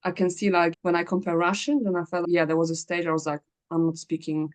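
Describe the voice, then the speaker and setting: A woman's voice: on the low side, little pitch movement, quick pace. A woman from Poland, hosting a casual chat and laughing often as she tells stories. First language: Polish. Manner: chatty, laughs often, acts out quoted speech. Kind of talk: casual conversation.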